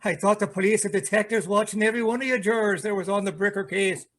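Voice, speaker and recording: A man playing an excitable, fretful neighborhood grocer in an old-time radio drama. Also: high voice, fast talker. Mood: alarmed.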